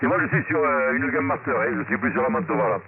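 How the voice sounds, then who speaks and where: A man with a very creaky, gravelly voice chatting casually as an amateur radio operator. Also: on the high side, very animated, fast talker, phone-quality sound. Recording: very noisy two-way radio transmission.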